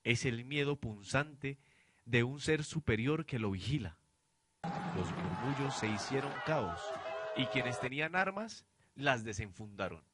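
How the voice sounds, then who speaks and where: A man's voice: medium pitch, animated, measured pace, heavy background noise. A man narrating a radio drama in a scripted, dramatic storytelling style.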